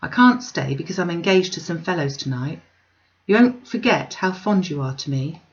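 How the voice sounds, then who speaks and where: Storybook role: selfish young gentleman, played by a woman, slangy, cajoling, breezy, on a slightly dull recording. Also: on the low side, lively intonation, moderate pace. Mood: coaxing. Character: Tom, selfish, coaxing young gentleman, wheedling his sister.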